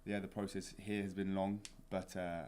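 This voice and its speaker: Deep, even-toned southern English young man. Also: slight background noise. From England. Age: young adult. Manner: halting, many "uh" and "um" fillers. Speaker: student soccer captain. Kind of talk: casual interview answers. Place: college studio.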